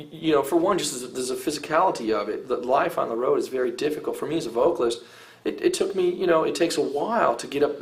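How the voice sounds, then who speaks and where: Rambling middle-aged man, lots of "you know". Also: mid-range voice. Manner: rambling, heavy use of "you know" and "I mean", frequent restarts. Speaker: rock vocalist giving advice. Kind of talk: casual interview, reminiscing.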